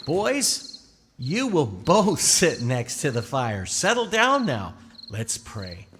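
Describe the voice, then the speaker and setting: Man playing a slow, animated storytelling father. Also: on the high side, a little hiss or hum, exaggerated voice. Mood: kindly firm. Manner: deliberate, explanatory storytelling. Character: patient Israelite father, gentle but firm, telling a bedtime story. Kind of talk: children's church puppet show acting. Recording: long hall reverb, music underneath.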